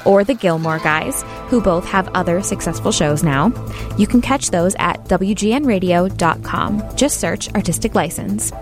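A woman's voice: fairly low pitch, animated, moderate pace. A woman hosting an interview podcast in a casual, conversational style. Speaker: podcast and radio interview host. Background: music.

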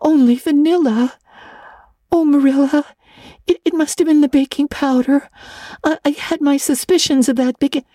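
A woman's voice: high-pitched, fairly even intonation, moderate pace, dark tone, dry recording, clean studio sound, pitched above the performer's own voice, lightly acted. A woman voicing a melodramatic, anguished young schoolgirl in a storybook, stammering and sobbing her words. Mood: anguished, defensive.

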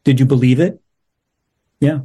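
Middle aged guy, soft dark tone, medium pitch, low-key interview chat. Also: moderate pace, husky. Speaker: playwright being interviewed. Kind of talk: casual chat.

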